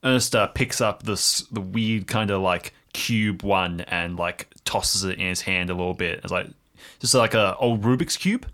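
A man casually improvising narration as a player in an audio drama, halting and full of filler words. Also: deep voice, fairly bright tone.

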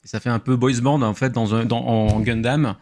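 A man explaining things casually as a guest, halting and repeating words as he goes. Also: medium pitch, fairly even intonation, a little mellow.

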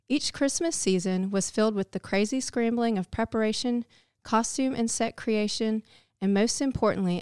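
A woman's voice: mid-range voice, clear, resonant tone, no room sound, clean studio sound. A woman giving a scripted, reflective devotional narration. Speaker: devotional speaker.

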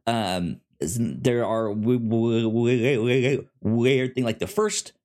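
A man co-hosting a podcast, reviewing a show in casual chat, talking haltingly with frequent stammers and restarts. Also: mid-range voice, darkish tone. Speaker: podcast co-host.

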